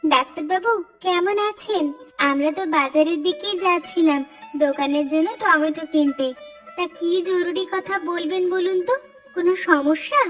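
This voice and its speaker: A hopeful young woman who runs a village food stall in a cartoon, played by a woman. Her voice is high, and she speaks with respectful sincerity. Music plays underneath. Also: normal speed. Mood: friendly, curious. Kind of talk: scripted cartoon dialogue.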